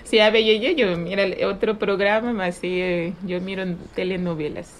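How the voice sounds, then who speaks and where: A woman's voice: medium pitch, fairly even intonation, normal speed. A Dominican woman in her forties with a Dominican Spanish accent, talking hesitantly in a casual radio interview, slipping into French now and then. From Dominican Republic. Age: 40s. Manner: hesitant, slips into French, laughs often.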